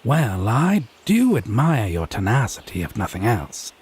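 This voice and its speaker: A man playing a haughty, teasing, possessive fae in a scripted audio roleplay, speaking in wry, theatrical, deliberate phrases. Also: fairly low pitch, huge pitch swings, darkish tone, breathy edge, full character voice. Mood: wry, mocking.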